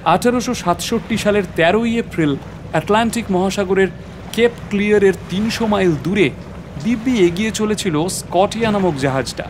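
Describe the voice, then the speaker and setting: একজন পুরুষ অভিনেতা প্রাকৃতিক ইতিহাসের অধ্যাপকের চরিত্রে নাটকীয়, রহস্যময় ভঙ্গিতে নিজের অভিযানের গল্প বলছেন।. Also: male voice, sits high, moderate pace, noisy background, lightly acted. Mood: grave, suspenseful. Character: male natural history professor recounting his adventure. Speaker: narrator. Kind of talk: dramatic scripted story narration.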